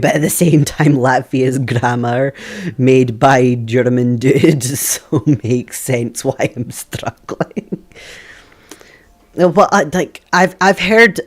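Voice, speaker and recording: A young Scottish man streaming a puzzle game, chatting with viewers, thinking aloud and laughing often. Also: medium pitch. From Scotland. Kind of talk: live game stream chat.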